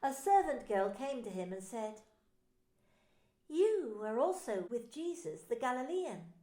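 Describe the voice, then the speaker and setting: A woman minister narrating scripture in an expressive, deliberate way. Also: fairly high pitch. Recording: echoey room.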